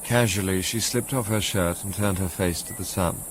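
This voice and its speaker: An actor playing an educated, introspective Englishman narrates in a measured, literary way, with an English accent, in a radio drama. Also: sits low, a little hiss or hum, lightly acted, poor audio. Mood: reflective. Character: educated Englishman recalling his romantic obsession, wistful and introspective.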